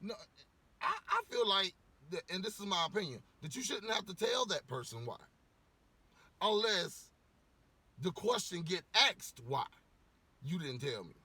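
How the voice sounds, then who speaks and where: A man ranting casually to camera, a little hoarse from losing his voice, rambling with lots of "you know" and swearing bluntly. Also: high-pitched, lively intonation, a little slow, long pauses, bright, forceful tone, dry recording. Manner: rambling, repeats words, frequent "you know". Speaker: vlogger giving opinions.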